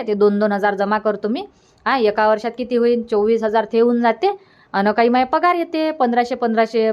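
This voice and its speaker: A woman's voice: fairly high pitch, a little mellow, lightly acted. A woman voicing a resigned, placating old village mother in a cartoon, on dull lo-fi audio. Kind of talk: Marathi cartoon comedy dubbing.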